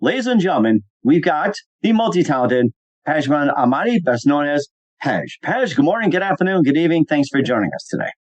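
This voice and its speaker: A man's voice: fairly high pitch, very animated, fairly quick. A male talk-show host with a slightly raised voice who delivers big showman-style introductions. He keeps stumbling over fillers and restarts. The recording is dull and lo-fi, missing its top end. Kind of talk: interview show hosting. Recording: dull lo-fi sound.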